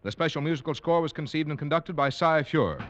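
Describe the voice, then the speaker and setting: Old-time american radio announcer guy, fairly high voice, formal, faint music behind. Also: brisk, highs cut off.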